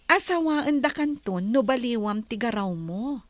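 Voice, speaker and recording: A woman with an Ilocano Filipino accent playing a gentle, wealthy bride-to-be in a radio drama. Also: fairly high pitch, animated, a little slow, no room sound. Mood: warm, teasing.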